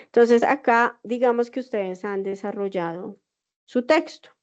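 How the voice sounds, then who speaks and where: A woman's voice: a little high, measured pace, a little mellow. A woman teacher with a Bogotá accent explaining an assignment step by step in an online class, patiently checking that students follow. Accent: Bogotá Spanish. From Colombia. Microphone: video call. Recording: dull lo-fi video call audio.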